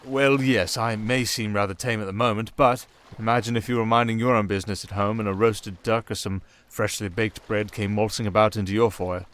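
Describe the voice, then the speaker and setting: A man with a British accent playing a sardonic, softly dangerous male siren in an ASMR roleplay, with dry, theatrical sarcasm. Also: on the low side, fairly even intonation, a little fast, few pauses, natural voice. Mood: softly menacing.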